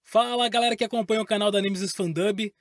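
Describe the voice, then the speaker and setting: A man's voice: high-pitched, fairly even intonation, fast talker. A man in his thirties with a Brazilian accent speaks in a somewhat raised voice, friendly with hesitant fillers. He is close on a studio microphone in a booth, the sound dry and free of room echo. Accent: Brazilian Portuguese. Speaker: actor and voice actor. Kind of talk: casual promo to camera. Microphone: studio mic with pop filter, headphones.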